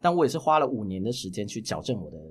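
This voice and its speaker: A man from Taiwan, chatting casually as an interview guest and explaining things with clear articulation. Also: lowish voice, very animated, very fast. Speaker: guest, voice actor.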